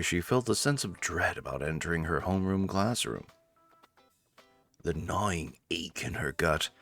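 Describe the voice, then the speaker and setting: A man's voice: deep voice, gravelly, fairly bright tone. A man hosting a let's-play, reading story narration theatrically with casual, amused asides. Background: faint game music.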